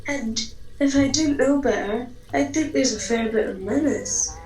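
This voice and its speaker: Woman playing a slow, genteel radio reporter, echoey sound. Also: on the high side, darkish tone, close to an everyday voice. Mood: polite, uneasy. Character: breezy, posh-mannered woman time-travelling reporter, blithely curious, then alarmed. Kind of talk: radio comedy scripted narration.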